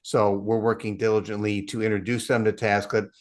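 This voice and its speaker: Middle-aged man, low voice, steady explainer on a video call. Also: fairly quick. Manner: steady, explanatory, self-deprecating. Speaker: partnerships head, guest. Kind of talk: casual interview chat.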